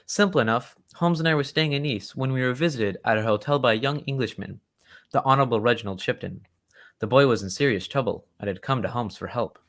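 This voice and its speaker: Genteel, old-fashioned gentleman storyteller for a radio play, played by a man, mid-pitched, loyal and excitable. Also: quick pace, close to an everyday voice. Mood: earnest storytelling. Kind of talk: old-time radio drama acting.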